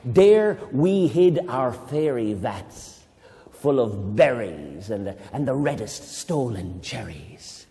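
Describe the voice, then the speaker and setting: An Irish man reading a poem aloud to children, reciting slowly and dramatically and breaking off into playful, chatty explanations. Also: medium pitch, sing-song, mellow. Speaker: poetry reader leading children. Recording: long reverb in a hall.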